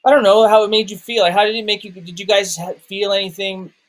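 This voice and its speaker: A man's voice: sits high, level tone, fast. A man talking casually about films as a podcast co-host, searching for words and rephrasing questions as they come. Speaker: film review podcast co-host. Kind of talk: casual movie discussion.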